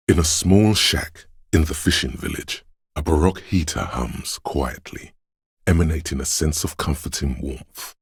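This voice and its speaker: An extremely deep, gravelly brooding city spirit. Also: male voice, no room sound, clean studio sound, exaggerated voice. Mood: somber, quiet. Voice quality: gravelly, husky bass. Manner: solemn, hushed, deliberate poetic delivery. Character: brooding poetic disembodied voice of a windswept city. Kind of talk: dramatic scripted game narration.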